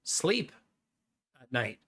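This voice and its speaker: A young adult man streaming live, chatting casually with his viewers, animated and talkative, often laughing. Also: drawn-out words.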